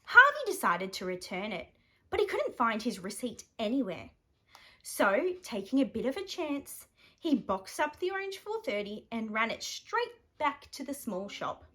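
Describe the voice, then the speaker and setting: An Australian woman reading a children's picture book aloud, animated and dramatic with playful emphasis. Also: fairly high pitch, moderate pace. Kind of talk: expressive children's bedtime story reading.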